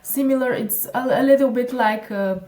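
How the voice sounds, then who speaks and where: A woman's voice: fairly high pitch, normal speed, a crowd in the background. A woman whose first language is Bulgarian, a marketing consultant answering interview questions with frequent fillers and restarts. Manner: hesitant, many fillers and restarts. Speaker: digital marketing consultant, guest. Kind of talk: conversational interview. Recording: echoey room.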